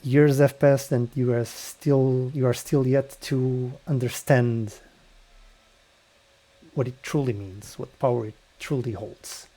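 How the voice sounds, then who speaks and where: Guy narrating a d&d session real slow, halting, pausing a lot, mid pitch. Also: fairly even intonation, warm tone. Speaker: dungeon master. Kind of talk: narrating a tabletop game.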